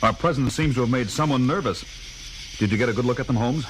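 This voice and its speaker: A man's voice: mid-range voice, fast talker, background noise, natural voice. A man playing a confident, authoritative masked hero in a 1970s children's record drama, formal and clipped. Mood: brisk, guarded. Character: stern, confident masked crime-fighting hero.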